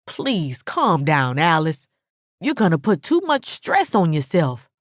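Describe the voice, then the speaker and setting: Woman acting as guilty friend, pleading, placating, unhurried, dry recording, audiobook drama. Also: sits low, very animated, dry recording, exaggerated voice. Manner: imploring, placating. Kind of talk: dramatic audiobook character dialogue.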